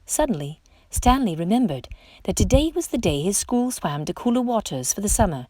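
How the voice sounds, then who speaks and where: A woman reading a picture book aloud to children in an expressive, dramatic storytelling style. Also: medium pitch, a little fast, no room sound.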